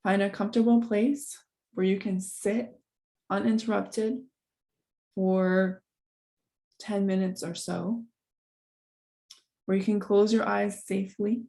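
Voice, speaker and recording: A woman guides a meditation in a soft, mellow voice that sits at an ordinary middle pitch. Her delivery is calm and gentle. She gives each instruction without hurry and leaves long pauses to follow it. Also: vocal fry.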